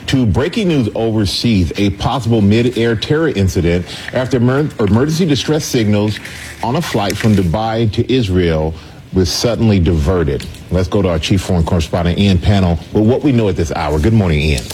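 Low-voiced male TV news anchor. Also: lively intonation, brisk, darkish tone, slight background noise. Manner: formal, urgent anchor read.

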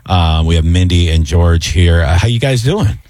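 A man's voice: low voice, lively intonation, moderate pace, darkish tone, no echo. Joking male radio host, dry studio sound. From Tampa, Florida. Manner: loose, joking, lots of fillers and restarts. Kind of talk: casual radio chat. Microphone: studio microphone.

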